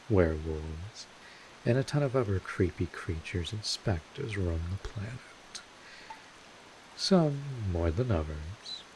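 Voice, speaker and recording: Man playing a low, slow-talking wry vampire mentor. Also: a little mellow, slight background noise, full character voice, low-quality recording. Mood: calm, explaining. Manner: casual, sardonic, unhurried with drawn-out pauses. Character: wry, laid-back male vampire mentor, world-weary but protective. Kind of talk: intimate roleplay audio drama monologue.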